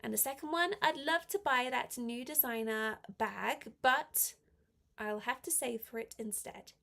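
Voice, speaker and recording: High-voiced woman teacher with a cold. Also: unhurried, gravelly, pushed and bright. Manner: encouraging, praising learners. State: slight head cold affecting the voice. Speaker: English teacher hosting a live class. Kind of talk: live online language lesson, reading exercises aloud and chatting to learners.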